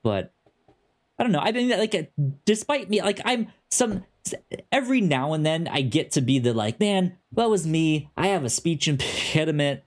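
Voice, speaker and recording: A man's voice: a little high, lively intonation, normal speed. Stuttering man hosting a podcast. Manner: stutters, repeating first sounds; thinks aloud, many fillers and restarts. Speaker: podcast host. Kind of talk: casual chat.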